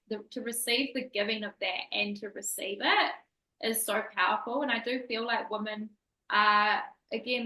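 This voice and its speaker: A woman's voice: mid-range voice, pushed and bright. A woman podcast host and coach, talking earnestly and emphatically about spiritual growth. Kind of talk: casual interview chat. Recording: echoey room.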